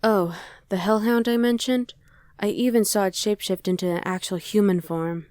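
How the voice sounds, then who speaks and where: A woman's voice: mid-range voice, normal speed, darkish tone, no echo, lightly acted. A woman playing a casual young female survivor of a demon invasion in an ASMR roleplay. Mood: casual, explaining. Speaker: voice actor.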